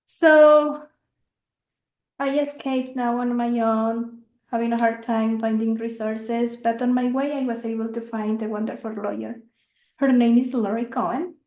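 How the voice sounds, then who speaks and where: Woman, young, earnest, halting, high voice, echoey room. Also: steady tone, darkish tone. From Mexico. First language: not English. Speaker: speaker. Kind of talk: informational talk. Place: meeting room.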